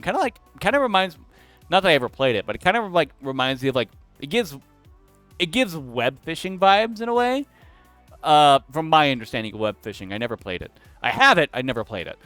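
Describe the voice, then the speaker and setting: Lively chatty young man streaming. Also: a little high, a little fast, dry recording, faint music behind. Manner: rambling and self-interrupting, talks back to chat. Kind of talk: casual chat with viewers.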